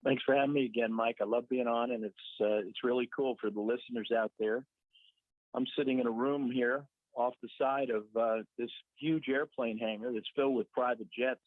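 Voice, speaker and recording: A man's voice: medium pitch, fairly even intonation, a little fast, no echo. A man as a guest on a talk radio show, explaining things at length with a joking touch, calling from a side room. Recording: dry tinny phone-line audio.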